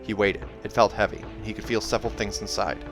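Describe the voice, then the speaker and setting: A man with a fairly low voice narrates a fantasy story. He moves between storybook reading and informal, self-correcting commentary. Faint music plays behind him. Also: fairly even intonation, quick pace. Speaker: narrator reading a novel aloud and reacting. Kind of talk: audiobook narration with casual asides.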